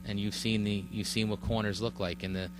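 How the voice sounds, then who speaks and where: A man speaking at a village board meeting, explaining a road project in a halting way with many fillers and restarts. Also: fairly low pitch, little pitch movement. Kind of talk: public meeting testimony. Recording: mains hum under the voice.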